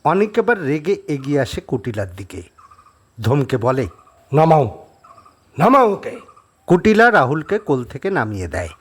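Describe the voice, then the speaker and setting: A man's voice: medium pitch, sing-song, normal speed, slightly rough. A man narrating a horror audio story as a dramatic scripted reading.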